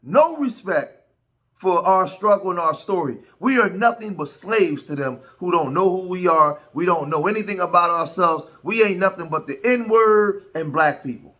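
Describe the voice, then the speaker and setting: American guy ranting commentary, high bright voice, keeps saying right?. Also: lively intonation, moderate pace. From United States. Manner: emphatic, repeats words and asks "right?". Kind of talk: reaction commentary.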